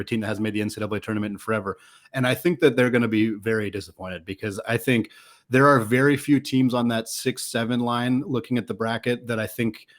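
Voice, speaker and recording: A man hosting a sports podcast, analysing in casual talk that runs long and is full of qualifying asides. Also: lowish voice.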